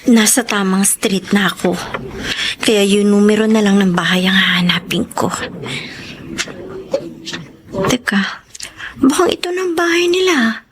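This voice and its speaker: A woman's voice: mid-range voice, lively intonation, moderate pace, natural voice. A woman acting an anxious, suspicious young woman deceived in love, in a radio drama. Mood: nervous.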